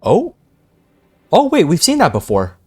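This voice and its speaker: A young man reacting casually to a game on stream, slangy and excitable, often repeating himself. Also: high voice, unhurried. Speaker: gaming streamer.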